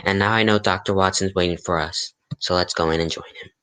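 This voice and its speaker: Man acting a low-voiced old-time radio announcer. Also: fairly even intonation, fast talker, sharp tone, clear, resonant tone. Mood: promotional. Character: courteous, eager radio announcer and visiting interviewer. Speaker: announcer and host.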